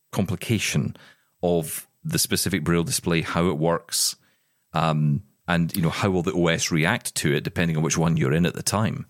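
A man's voice: deep voice, no echo, studio quality. A man co-hosting a podcast, chatting casually about tech and thinking aloud with restarts. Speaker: podcast co-host.

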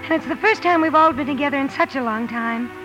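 A woman's voice: high voice, fast, lo-fi sound, lightly acted. An actress playing a sincere, passionate young woman writer in a 1950s radio drama, earnest and emotional. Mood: urgent, excited. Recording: old radio broadcast with music.

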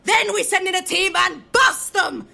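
An actress playing a furious, domineering, scornful boss in an audio drama, shouting with great emphasis. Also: female voice, very high-pitched, measured pace, creaky, heavily acted. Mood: angry, commanding.

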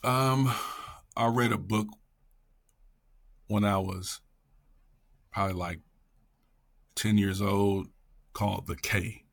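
A man's voice: on the low side, steady tone, slow, gravelly, long pauses, slightly rough. A middle-aged man talking casually and passionately as an interview guest, emphatic and repeating phrases for effect. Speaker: podcast guest, educator.

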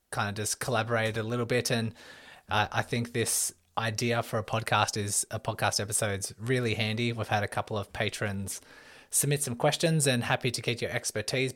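A man hosting a running podcast, welcoming listeners and introducing a guest in a relaxed, loosely phrased way. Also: mid-range voice, no room sound, studio quality. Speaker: podcast host. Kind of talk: podcast interview.

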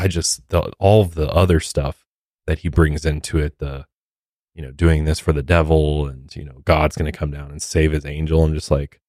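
A man's voice: very deep voice, a little mellow, no echo, studio quality. A man co-hosting a podcast, giving casual, opinionated commentary. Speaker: podcast co-host.

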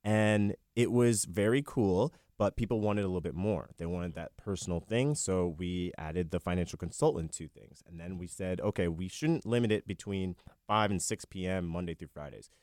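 A man with a very deep voice speaks casually as a business guest. The sound is dry and close, with almost no room in it. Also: moderate pace, hardly any pauses, darkish tone, no echo. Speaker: interview guest, company representative. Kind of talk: casual spoken pitch.

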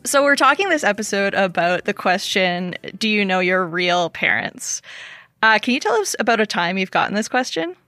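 A woman's voice: mid-range voice, big pitch swings, moderate pace, bright, forceful tone, no echo, clean studio sound, soft background music. A woman co-hosting a podcast interview, eagerly introducing the guest and asking questions. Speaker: podcast co-host.

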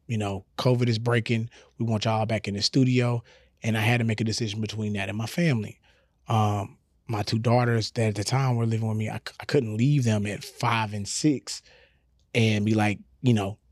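A man's voice: lowish voice, dry recording, studio quality. A middle-aged man telling stories casually as a podcast guest, candid and profane with slangy asides. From Houston, Texas. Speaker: podcast guest, comedian and radio personality.

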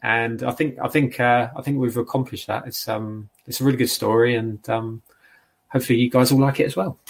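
A man with a mid-range voice speaks haltingly as a guest in a casual interview. He fills the gaps with many ums and repeats his words as he searches for what to say. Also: mid-range voice, steady tone, creaky. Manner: hesitant, halting with many fillers.

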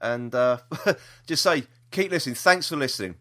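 Bright-voiced, rambling British man. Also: mid-range voice, lively intonation, moderate pace. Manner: hesitant, rambling, repeats himself. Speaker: podcast host. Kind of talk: casual chat.

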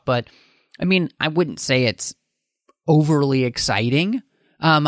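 A man's voice: medium pitch, a bit bright, dry recording, studio quality. A man hosting a casual panel podcast, speaking in an enthusiastic, emphatic way. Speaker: podcast host.

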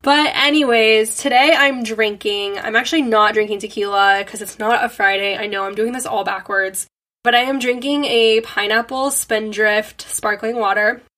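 A woman's voice: on the high side, fairly even intonation, moderate pace, a bit bright. Congested young woman chatting bubbly. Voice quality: nasal, stuffy. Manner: bubbly, giggly, rambling with lots of "like". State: congested from a head cold. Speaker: podcast host. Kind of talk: casual chatty monologue.

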